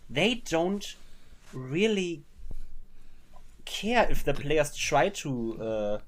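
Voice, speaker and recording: A man's voice: a little high, very animated, extremely slow, poor audio. A man whose first language is German, co-hosting a casual tabletop-gaming podcast in a playful, chatty way full of restarts. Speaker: podcast co-host. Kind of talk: casual chat. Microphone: webcam setup, home recording.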